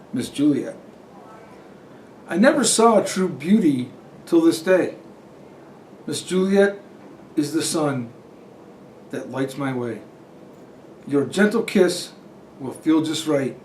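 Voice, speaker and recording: Higher pitched guy reading a kids book, takes his time, echoey room. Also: a little mellow. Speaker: school staff member reading aloud. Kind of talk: children's storybook narration. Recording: echoey room, distant mic, faint noise.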